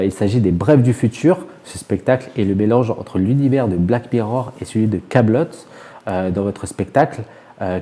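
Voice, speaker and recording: A man's voice: fairly low pitch, mellow. A man with a French accent from France, a radio interviewer putting prepared questions to a guest.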